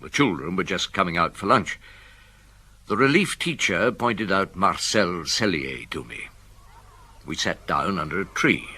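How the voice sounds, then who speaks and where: An actor playing a shrewd, calm police chief inspector in a radio drama, with a thoughtful, probing manner. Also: male voice, low-pitched, slightly rough, exaggerated voice. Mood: measured, easy. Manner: probing, thoughtful, asks short measured questions. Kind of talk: radio drama acting with first-person narration.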